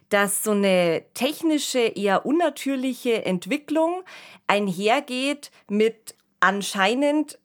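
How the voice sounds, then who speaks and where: Female podcast co-host, average pitch, conversational and analytical, informal delivery. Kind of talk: casual discussion.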